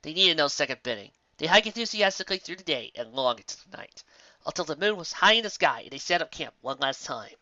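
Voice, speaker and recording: A woman narrating a fan-fiction story in an expressive storybook style. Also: low voice, quick pace, no room sound. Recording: dull, muffled audio.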